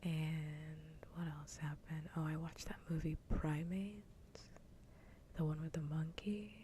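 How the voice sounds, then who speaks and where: A woman hosting an ASMR livestream, chatting casually in a soft, breathy whisper close to the mic, with unhurried, sweary rambling. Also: low-pitched, flat delivery, noisy background. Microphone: close ASMR microphone.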